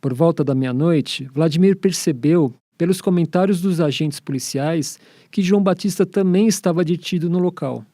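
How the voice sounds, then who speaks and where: A man's voice: fairly high pitch, normal speed, few pauses, dark tone, dry recording, studio quality. A Brazilian man presenting and narrating a radio documentary from a script.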